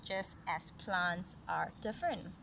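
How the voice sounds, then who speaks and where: A woman's voice: lowish voice, expressive, slow, creaky, a bit bright, a little hiss or hum. A mother reading a children's story aloud, breaking off for casual explanations and questions to her child.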